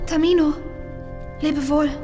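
An actress playing a tender, anguished young princess in love, pleading and lamenting in theatrical verse. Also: female voice, sits high, level tone, measured pace, mellow. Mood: sorrowful farewell. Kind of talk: theatrical verse in opera radio play. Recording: long hall reverb, faint music behind.

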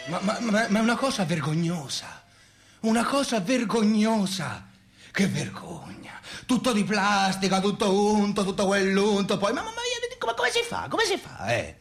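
An outraged Tuscan man in a radio comedy-drama, high-voiced with wildly swinging intonation. He sputters and repeats himself in disgust. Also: wildly swooping pitch, normal speed, soft background music, heavily acted. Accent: Tuscan Italian. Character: indignant, disgusted, hot-tempered ranting man. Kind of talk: theatrical radio drama dialogue.

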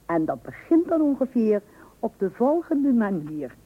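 Wry Dutch wife, lively intonation. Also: female voice, a little high, unhurried, breathy edge, exaggerated voice. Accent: Netherlands Dutch. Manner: dryly ironic, mock-patient. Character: wry, long-suffering wife humouring her pompous husband. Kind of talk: old Dutch radio comedy acting. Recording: muffled old radio recording.

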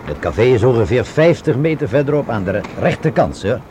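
A man's voice: medium pitch, big pitch swings, a little fast, mellow, natural voice. An actor playing a talkative junior policeman in a Dutch radio drama, colloquial and deferential to his superiors. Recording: radio play, faint outdoor street noise.

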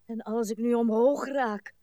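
An actress playing a weary old woman who fusses and complains in a radio play, with plaintive, halting delivery. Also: a little high, steady tone, dark tone, no room sound, studio quality, lightly acted. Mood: bossy, anxious. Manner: plaintive, halting, muttering asides and sudden exclamations. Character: weary, fussing, complaining old lady, stiff and breathless.

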